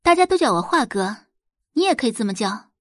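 Woman playing a self-assured teenage student, cool, direct, lively intonation, audiobook hero, dry close recording. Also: on the high side, brisk, no room sound. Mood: friendly, confident. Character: confident, friendly, tough new teenage transfer student. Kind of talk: audiobook character acting.

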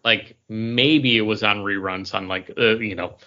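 A man co-hosting a podcast, chatting casually about pop culture in a rambling, halting way that often trails off. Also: lowish voice, steady tone, bright, forceful tone, highs cut off. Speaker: podcast co-host.

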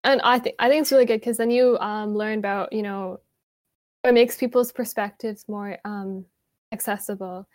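A woman's voice: medium pitch, fairly even intonation, normal speed, dry recording, studio quality. A young woman in casual podcast chat, agreeable and asking questions.

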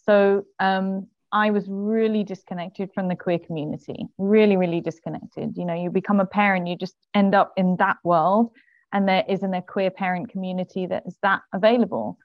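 A woman's voice: medium pitch, steady tone, normal speed, no room sound, lo-fi sound. A woman guest on a podcast, a parent, telling her story in a friendly, chatty way. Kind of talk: casual conversation.

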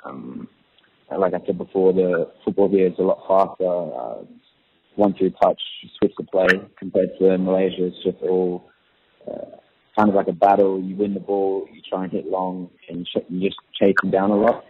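Low husky man on a phone line. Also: moderate pace. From Australia. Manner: relaxed, hesitant, many ums and uhs. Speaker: professional footballer, guest. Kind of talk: casual interview answers. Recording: tinny phone-line audio.

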